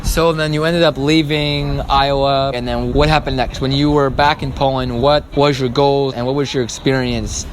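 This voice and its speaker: A man's voice: mid-range voice, level tone, moderate pace, few pauses, slight background noise. A teenage man conducting a conversational interview as the interviewer. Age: teens.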